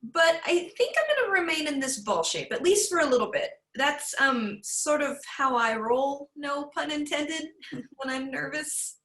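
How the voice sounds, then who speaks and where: Lady putting on a shy nervous armadillo teacher, stumbles and giggles, bright voice in an echoey room a bit off mic. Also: high voice, lively intonation, recorded from a distance. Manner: halting, with nervous laughs.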